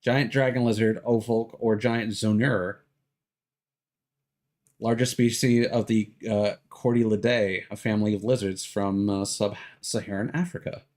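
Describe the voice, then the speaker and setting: A man's voice: lowish voice, steady tone, a little slow, darkish tone. A man doing casual, rambling livestream commentary over a video game, thinking aloud as he goes. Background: music behind the voice.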